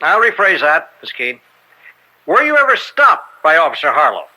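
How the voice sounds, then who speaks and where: Slick defense attorney, American, high-pitched, piercingly bright, formal pointed oratory, smug, radio drama. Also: male voice, huge pitch swings, normal speed, exaggerated voice, rough recording. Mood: probing. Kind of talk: old-time radio courtroom drama acting.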